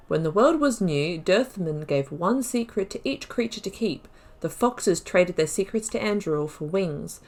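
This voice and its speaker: A woman hosting a gaming video, chatting casually through a playthrough and reading lore aloud. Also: medium pitch.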